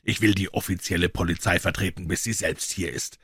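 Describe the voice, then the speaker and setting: A man voicing a cool, commanding detective who speaks calmly, briskly and with authority. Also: low-pitched, husky, no echo, clean studio sound, exaggerated voice.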